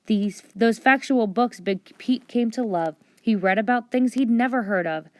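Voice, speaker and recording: A woman reading a storybook aloud with expressive children's narration. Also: a little high, moderate pace, no echo. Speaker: storybook reader.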